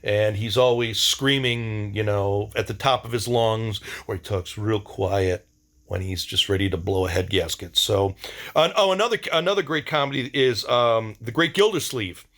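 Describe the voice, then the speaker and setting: A man's voice: fairly low pitch, moderate pace, fairly bright tone. A man giving a casual, rambling diary-style monologue, enthusiastic and informal, with some slang. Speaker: video diary host.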